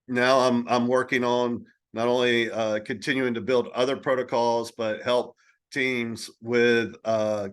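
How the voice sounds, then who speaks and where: Male panelist on a call, slow deliberate delivery, lots of pauses. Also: medium pitch, clean tone. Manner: halting, many "um" pauses. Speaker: panelist, protocol builder. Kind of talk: casual panel talk. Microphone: video call.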